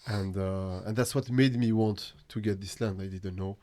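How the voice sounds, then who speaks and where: A man from Tunisia with a French Tunisian accent, a guest artist talking casually on a podcast, halting and filling with "you know". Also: lowish voice, fairly even intonation, warm tone, no room sound.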